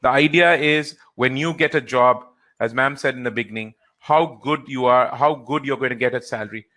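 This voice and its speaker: A trainer works through audience questions in a live session. His voice sits at a middle pitch, and his delivery is relaxed and halting, with many fillers. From India. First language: Hindi or Gujarati.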